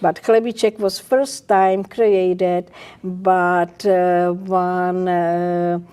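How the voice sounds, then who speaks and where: An older woman from the Czech Republic with a Czech accent, a guest chef speaking in a casual interview, halting and searching for words. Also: on the low side, level tone, dark tone, clean tone.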